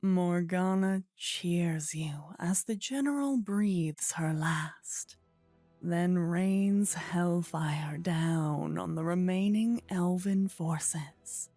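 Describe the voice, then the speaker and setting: Woman narrator, dark mellow tone, breathy, measured, scripted fantasy storytelling, background music. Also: lowish voice, no echo. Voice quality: breathy, whispery. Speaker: narrator and voice actor. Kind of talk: scripted second-person fantasy narration, soft ASMR.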